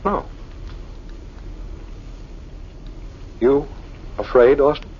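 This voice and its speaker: An actor playing a brave, reassuring man trapped in the dark in a 1940s radio horror drama, talking in a measured, hesitant way. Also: medium pitch, lively intonation, husky. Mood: uneasy. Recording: dull, faintly noisy old radio recording.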